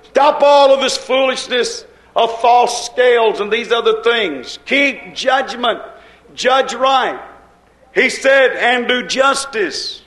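High-voiced fiery preacher man. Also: takes it slowly, a bit bright, reverberant. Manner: preaching with repetition and rising cadence. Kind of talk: fiery sermon.

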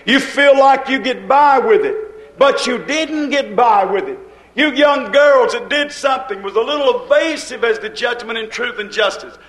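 A man's voice: very high-pitched, expressive, normal speed, a bit bright, reverberant, rough recording. A man preaching a fiery sermon, hammering points with repetition and a rising cadence.

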